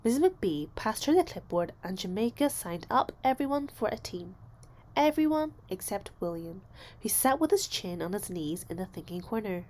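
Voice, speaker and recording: A woman reads a children's storybook aloud as narrator. Her delivery is expressive and deliberate, with dramatic emphasis and very lively pitch movement at a medium register. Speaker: storybook reader.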